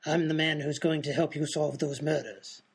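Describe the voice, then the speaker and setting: A man acting as a mysterious trench-coated stranger in a radio comedy. His fairly high voice is very rough and gravelly, and he speaks with formal, deliberate courtesy. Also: steady tone. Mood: mysterious, courteous.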